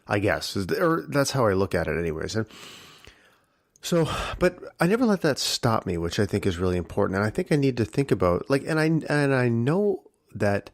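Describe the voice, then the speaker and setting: A man hosting a casual solo podcast with a husky voice, reflective and rambling with many fillers. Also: mid-range voice, big pitch swings, fairly quick, no room sound, clean studio sound. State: early-morning tired voice. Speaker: podcast host.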